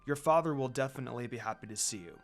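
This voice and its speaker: A man's voice: medium pitch, very fast, soft background music, pitched below the performer's own voice, close to an everyday voice. A kindly young monk, read by a man. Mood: reassuring.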